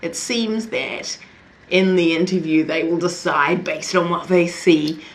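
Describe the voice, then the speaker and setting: A woman's voice: on the low side, measured pace, a little hiss or hum. Chatty young Kiwi woman vlogging. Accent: New Zealand. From New Zealand. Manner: chatty, laughs often, self-deprecating asides. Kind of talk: casual talk to camera.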